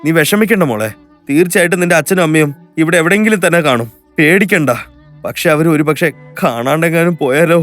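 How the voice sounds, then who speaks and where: A man's voice: on the high side, fairly quick, fairly bright tone, faint music behind, natural voice. A man voicing a sympathetic, grave authority figure in a children's moral story. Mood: concerned. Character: sympathetic man in authority breaking bad news gently.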